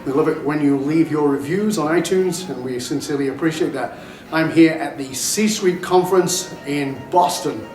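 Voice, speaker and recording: A man's voice: fairly high pitch, normal speed, a little mellow. Male interviewer in an echoey room. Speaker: podcast host and interviewer. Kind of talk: conversational interview. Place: conference venue. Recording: roomy echo, music behind.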